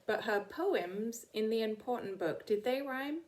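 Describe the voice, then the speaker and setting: A woman's voice: a little high, expressive, measured pace, a little mellow. A middle-aged woman reading a picture book aloud to children, talking simply and directly to her young listeners. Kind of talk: read-aloud storytime for children.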